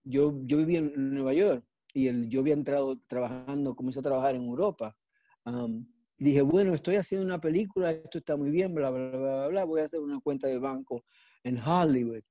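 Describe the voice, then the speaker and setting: Older Dominican man, warm and dry. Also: mid-range voice, dry recording. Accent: Dominican Spanish. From Dominican Republic. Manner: animated, halting with restarts and repeats. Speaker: actor guest. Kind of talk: casual storytelling interview. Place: home room indoors. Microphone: earbud headset on video call.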